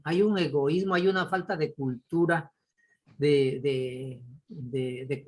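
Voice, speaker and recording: A man's voice: fairly high pitch, breathy edge. A man from Ecuador, a course participant, talking casually and animatedly in an online class, exclaiming as he tells examples. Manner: apologetic, animated storytelling with exclamations. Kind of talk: casual video-call discussion.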